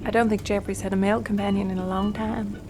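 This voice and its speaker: A woman's voice: mid-range voice, little pitch movement, fast talker, natural voice. A woman playing a nosy Southern American mother in a sci-fi audio comedy, folksy and blunt.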